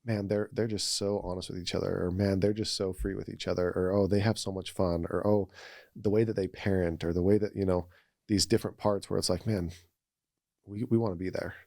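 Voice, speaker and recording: A man's voice: deep voice, fairly even intonation, fairly quick, gravelly, darkish tone, no echo, studio quality. A man, thirty or older, talking casually and reflectively as a podcast guest, with an earnest, conversational way of telling personal stories. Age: 30s or older.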